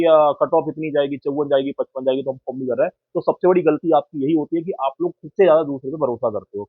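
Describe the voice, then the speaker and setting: A man's voice: a little high, slightly rough. A man giving informal, blunt, exhortative advice to students and often checking for agreement, on a muffled, tinny recording. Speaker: exam coaching YouTuber. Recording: muffled, tinny phone-like lo-fi audio.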